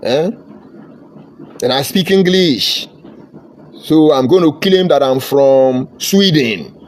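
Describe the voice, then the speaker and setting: A man's voice: fairly high pitch, expressive, slow. Fervent Nigerian man ranting. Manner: fervent and combative, slipping into Pidgin phrases. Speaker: political commentator. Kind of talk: impassioned political monologue.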